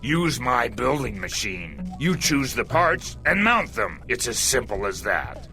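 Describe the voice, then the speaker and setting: A man playing a gruff older robot mechanic in a video game, kind but wary, blunt and unhurried. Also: medium pitch, very animated, pushed and bright, faint music behind, heavily acted. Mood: helpful. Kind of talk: scripted video game cutscene acting.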